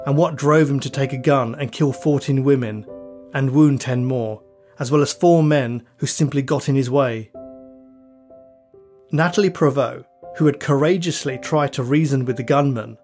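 Male podcast host, scripted true crime narration, mid-pitched voice, dramatic and measured, faint music behind. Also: a little mellow. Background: background music.

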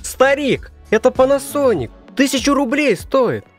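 A man's voice: very high voice, very animated, measured pace, soft background music, pitched above the performer's own voice, heavily acted. A man voicing a brash, flippant schoolboy son in an audiobook comedy. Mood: excited, boastful. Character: cheeky, slangy schoolboy son.

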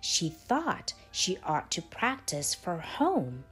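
A woman reading a children's story aloud in a deliberate, expressive way with dramatic pauses. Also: on the low side, slightly rough. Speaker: storybook reader, channel host. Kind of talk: children's read-aloud, scripted narration. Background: background music.

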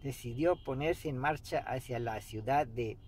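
A man in his fifties reading a book aloud meditatively in a halting way, pausing mid-sentence, recorded inside a car. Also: mid-range voice. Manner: halting, pauses mid-sentence, clears throat. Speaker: amateur reader of a book. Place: inside a parked car by a street. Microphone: camera an arm's length or so away. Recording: steady background noise inside a car.

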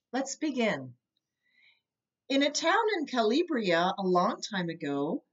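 Female storybook narrator, middle-aged, measured and animated in pitch, a little lo-fi. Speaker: storybook reader. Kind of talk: read-aloud children's story narration. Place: indoor room with bookshelves.

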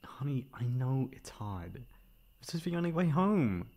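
A young man playing a caring, mildly exasperated boyfriend in an ASMR roleplay, coaxing and teasing with breathy sighs. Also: mid-range voice, very animated, measured pace, darkish tone. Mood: concerned, coaxing.

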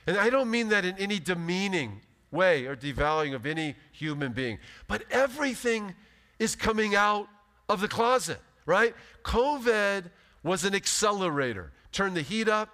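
Animated man preaching in a reverberant hall. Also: high voice, moderate pace. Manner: animated, emphatic preaching with big gestures. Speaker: pastor. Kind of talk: sermon. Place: large church stage, indoors.

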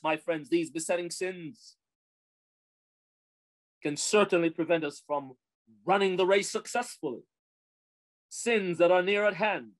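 Male preacher, fifties. Very animated pitch, emphatic sermon delivery, rhetorical repetition, speaking into a desk webcam setup in a small office. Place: small office with bookshelves, indoors. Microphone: webcam-style desktop setup at arm's length.

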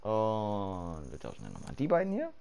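A hoarse man talking through his game setup in casual commentary, swearing freely and thinking aloud with fillers, late at night. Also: on the low side, moderate pace, a little hiss or hum. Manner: loud and excited at the start, quieter later. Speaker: gaming YouTuber. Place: home room at night.

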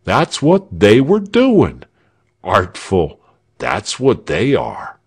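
A man's voice: on the low side, huge pitch swings, drawn-out words, exaggerated voice. Man putting on a grumbling common soldier. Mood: indignant. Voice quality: rough dialect, dropped g's. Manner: grumbling, boastful. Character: gruff uneducated soldier in armor, grumbling.

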